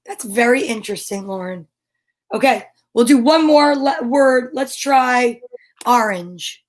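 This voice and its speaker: Female host, high-pitched voice, informal and inquisitive, casual conversational delivery. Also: expressive, unhurried. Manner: informal, curious questioning. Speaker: host of a children's story time show. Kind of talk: casual interview chat.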